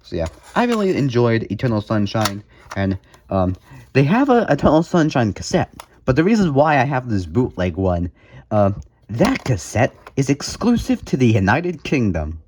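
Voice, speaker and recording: Man with very swingy pitch, casual vlogging. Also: wildly swooping pitch, moderate pace, darkish tone, a little hiss or hum. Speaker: host of a music collection video. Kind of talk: casual show-and-tell vlog commentary.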